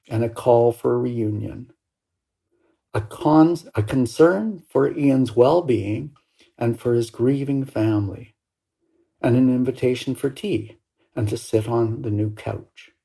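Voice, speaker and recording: An older woman over sixty reads a personal story expressively, with deliberate, dramatic phrasing and pauses. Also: very deep voice, creaky, dark tone, breathy edge. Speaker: writer reading own work.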